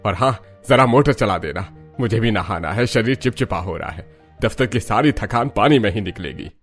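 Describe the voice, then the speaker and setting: A man's voice: fairly low pitch, lively intonation, normal speed, faint music behind, lightly acted. A man voicing a demanding middle-aged father-in-law in a cartoon story, tired and grumbling. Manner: grumbling, commanding. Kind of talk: Hindi cartoon story voice acting.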